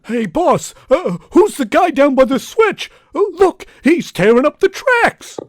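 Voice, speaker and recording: A man playing a jittery, slow-witted criminal henchman who stammers and hesitates, in 1940s radio serial style. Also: very high voice, sing-song, full character voice. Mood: nervous.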